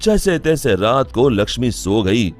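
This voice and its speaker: Guy narrating a dramatic cartoon tale, very expressive pitch, takes his time. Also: measured pace. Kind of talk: dramatic scripted story narration. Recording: music under the voice.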